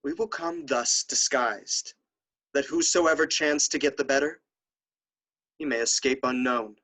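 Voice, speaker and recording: A man's voice: mid-range voice, steady tone, measured pace, bright, forceful tone, poor audio. A man playing a proud, jealous young nobleman in a period audio drama, with formal, dramatic archaic speech. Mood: challenging.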